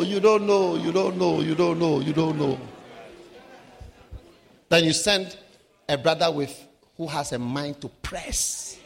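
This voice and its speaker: A man from Africa preaching a sermon, swinging between shouted lines and low, quiet asides. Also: gravelly. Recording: live sermon with long hall reverb.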